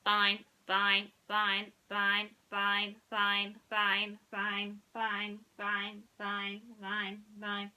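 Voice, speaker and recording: A woman's voice: medium pitch, completely flat delivery, drawn-out words, hardly any pauses, sharp tone, smooth, exaggerated voice. A woman voicing a male electronic toy in a children's story, talking mechanically and getting stuck on repeats. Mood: stuck, cheerful. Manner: stuttering, repetitive, mechanical delivery.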